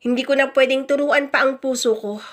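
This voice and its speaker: A woman acts out a blunt husband in a radio melodrama, fed up and defiant. The voice sits fairly high for a grown speaker, with a self-justifying, scornful edge. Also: fairly even intonation, fairly quick, natural voice. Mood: weary, firm. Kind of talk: radio drama dialogue.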